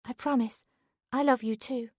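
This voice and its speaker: Woman narrator playing a meek little girl, higher and hushed, dry. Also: fairly even intonation, normal speed, no room sound, close to an everyday voice. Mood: soft. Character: young girl, gentle and obedient.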